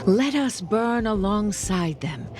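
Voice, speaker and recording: A woman's voice: medium pitch, expressive, slow. An actress playing a cold, fanatical female research scientist in a video game, speaking in a theatrical, condescending, oratorical way. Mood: fervent, grandiose. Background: game music.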